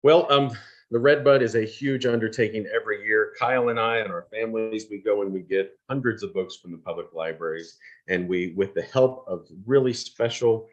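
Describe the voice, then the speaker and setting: Guy hosting an online awards thing, lowish voice, folksy and unscripted. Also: fairly quick, highs cut off. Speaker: award program host. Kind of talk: unscripted announcing at an online event.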